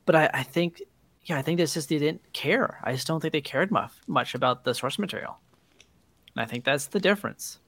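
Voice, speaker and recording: A man co-hosting a podcast in relaxed, agreeable, casual conversation. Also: on the high side, brisk, dry recording. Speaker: podcast co-host. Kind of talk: casual chat.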